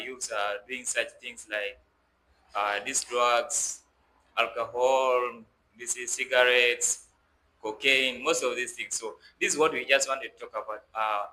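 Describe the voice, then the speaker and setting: A man's voice: mid-range voice, sharp tone. A young man hosts a conversational podcast, often repeating phrases as he builds his points. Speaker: podcast host. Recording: roomy echo with faint background noise.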